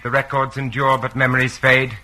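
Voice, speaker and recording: An actor playing an anxious, hesitant party clerk in an old-time radio drama. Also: male voice, mid-range voice, little pitch movement, a little fast, bright, forceful tone. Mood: dutiful, stiff. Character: nervous male party clerk under a totalitarian regime. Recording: noisy old radio recording.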